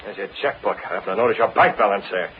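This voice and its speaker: An actor playing a commanding, threatening masked vigilante in a clipped, tough old-time radio adventure style. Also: male voice, lowish voice, very fast, close to an everyday voice. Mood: stern, commanding. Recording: muffled, noisy old radio recording.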